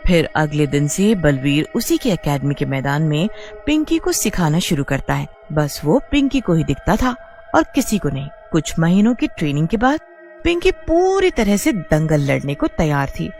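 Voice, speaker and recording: A woman's voice: low voice, very animated, normal speed, darkish tone, soft background music. A woman narrating a scripted children's story as the storyteller.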